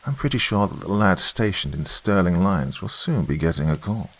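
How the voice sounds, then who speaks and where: A man's voice: deep voice, sing-song, slow, pushed and bright, slightly rough, exaggerated voice. A man voicing a senior British admiral in a military story, incredulous and authoritative. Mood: commanding, softer.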